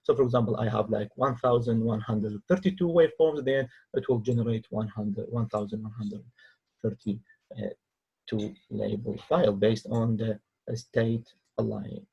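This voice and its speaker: A man who speaks English as a second language narrates a software tutorial as a researcher, in a halting way with hesitant pauses. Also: lowish voice, vocal fry, husky, lo-fi sound. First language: not English. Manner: halting, non-native phrasing with hesitant pauses. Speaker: researcher demonstrating own software. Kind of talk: tutorial screen-recording narration.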